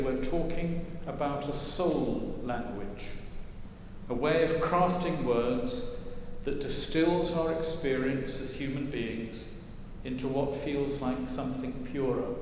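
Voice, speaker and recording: An older man preaching a sermon from a church lectern, wry and gently humorous as he tells stories. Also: a little high, slight background noise. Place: large stone church, at a lectern. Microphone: lectern mic, far camera. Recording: echoey church with long reverb.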